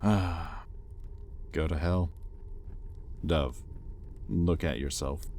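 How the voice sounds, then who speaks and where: Male actor as sardonic demon master for intimate roleplay audio: extremely deep, unhurried, exasperated, grudgingly caring. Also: a little mellow, slight background noise, heavily acted. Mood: frustrated, bitter. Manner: sardonic, exasperated, with pointed pauses.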